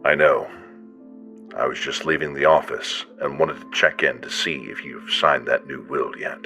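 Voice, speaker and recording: A man playing a polite, smooth-talking business associate with a courteous, measured manner in a superhero audio drama. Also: a bass voice, bright, forceful tone, faint music behind, exaggerated voice. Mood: courteous, probing.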